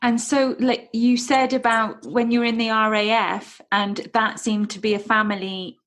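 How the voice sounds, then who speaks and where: A woman's voice: a little high, flat delivery, unhurried, fairly bright tone. A woman, hosting a casual interview in an interested, encouraging way. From North Wales. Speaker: podcast host, interviewer.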